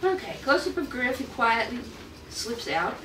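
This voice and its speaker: A woman's voice: a little high, big pitch swings, moderate pace. A woman reading out screenplay stage directions as narrator at a table read. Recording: echoey room, distant mic, clear noise.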